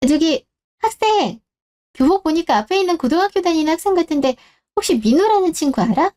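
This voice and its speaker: A woman's voice: very high voice, expressive, quick pace, mellow. A woman playing a polite, considerate supermarket clerk in a radio drama. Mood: concerned. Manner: courteous, considerate. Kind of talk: Korean radio-drama story acting.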